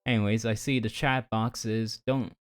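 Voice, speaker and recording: A man's voice: fairly low pitch, fairly even intonation, unhurried, clean tone. A man streaming a game, chatting casually to viewers in a rambling, geeky, slangy way. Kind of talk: casual livestream chat to viewers while playing.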